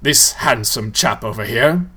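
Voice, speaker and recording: A man voicing a tall male ghoul who speaks slowly in a deep, mock-courtly manner, in a storybook reading. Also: expressive, sharp tone, husky, exaggerated voice. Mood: pompous. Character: tall gleeful male ghoul, mocking and pompous.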